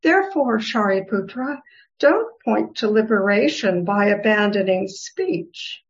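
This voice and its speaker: A woman reading the part of a serene, wise goddess who gently teases, in a formal scripture reading with deliberate delivery. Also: medium pitch, animated. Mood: serene, instructive. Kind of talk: dramatic reading of Buddhist scripture. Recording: dull, lo-fi recording.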